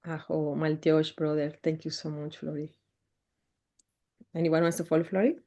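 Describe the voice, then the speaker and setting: A woman from Mexico leading a group video call, speaking slowly and earnestly in spiritual terms. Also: deep voice, flat delivery, dark tone. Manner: slow, earnest, spiritual phrasing. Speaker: expedition guide.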